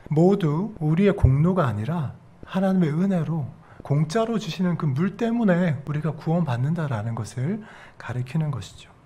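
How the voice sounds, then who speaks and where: Middle-aged dialect-speaking male pastor, slightly high husky voice, teaching-style sermon with questions to the congregation. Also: a little mellow. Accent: Korean regional dialect. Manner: explains verses step by step, asks the congregation questions. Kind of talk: expository sermon.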